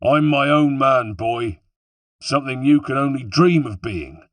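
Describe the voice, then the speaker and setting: A man's voice: medium pitch, expressive, measured pace, no echo, pitched above the performer's own voice, close to an everyday voice. A man voicing a taunting, middle-aged West Country villain with a wheezy, breathless voice in an audiobook. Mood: sneering defiance. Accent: West Country English. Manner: taunting, contemptuous.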